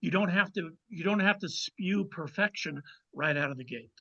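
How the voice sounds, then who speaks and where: A man's voice: sits high, normal speed, gravelly, bright, forceful tone, lo-fi sound. A man speaking casually as an author guest in an interview, giving emphatic advice and repeating words as he goes.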